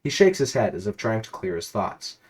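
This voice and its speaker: Low-voiced man reading a story dramatically. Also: very animated, fairly quick, a little mellow, husky. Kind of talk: dramatic read-aloud of absurd interactive fiction.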